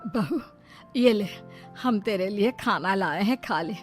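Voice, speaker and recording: A woman's voice: mid-range voice, expressive, takes it slowly. Timid, caring older mother-in-law, as a woman plays her: cautioning tone, moral tale with music. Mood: secretive, anxious. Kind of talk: Hindi moral story character voice.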